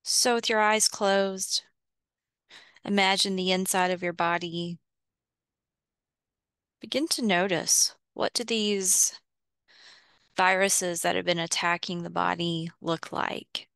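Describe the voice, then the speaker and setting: A woman guides a visualization in short, measured phrases. Her delivery is very slow and a little low, with a creaky fry at the edges. The sound is dry, without room echo, and she leaves space between instructions. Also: fairly even intonation, fairly bright tone. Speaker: guided meditation host.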